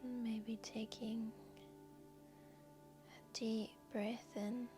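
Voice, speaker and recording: Very slow, whispery woman guiding meditation. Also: a little high, little pitch movement. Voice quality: soft, breathy, whispery. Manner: gentle, soothing, unhurried with long pauses. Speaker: yoga nidra guide and host. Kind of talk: guided meditation narration. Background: background music.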